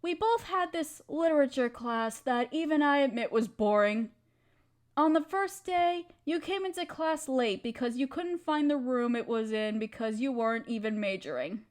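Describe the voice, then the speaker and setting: A woman's voice: sits high, lively intonation, normal speed. A woman playing an affectionate, playful young wife in an intimate roleplay audio, talking tenderly and teasingly to her partner. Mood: fond, teasing.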